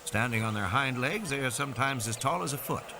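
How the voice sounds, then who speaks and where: A man's voice: medium pitch, animated, fairly quick, background noise, pitched above the performer's own voice, lightly acted. Man playing an assured, friendly American field biologist. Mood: assured, easygoing.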